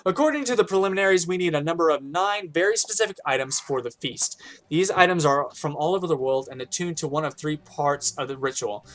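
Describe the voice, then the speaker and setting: A man with a hoarse voice reading the formal lines of a temple priest in a game. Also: a little high, expressive, fairly quick, fairly bright tone. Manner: formal, read aloud.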